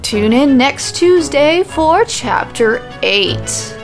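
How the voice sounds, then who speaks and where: A woman's voice: high-pitched, sing-song, very slow, soft background music. A woman telling a scripted story as its podcast host, with casual asides.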